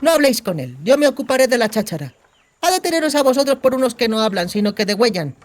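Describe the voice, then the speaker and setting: A man playing a cheeky, high-voiced young bandit woman. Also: big pitch swings. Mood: brisk, bossy. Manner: sardonic, colloquial, explaining briskly. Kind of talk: audiobook character dialogue.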